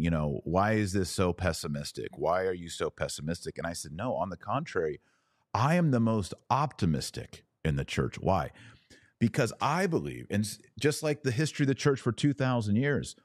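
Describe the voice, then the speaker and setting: A man with a deep voice hosts a religious commentary podcast. He talks informally and with emphasis, explaining points and often checking that the listener follows. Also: dry recording, studio quality. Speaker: podcast host.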